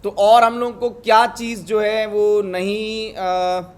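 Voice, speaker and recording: A man's voice: sits high, level tone, slow, smooth. A man with an Indian accent teaching a step-by-step coding lesson, switching between two languages and often checking that learners follow. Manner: switches between Hindi and English; instructive, often checks understanding with tag questions. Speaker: programming instructor.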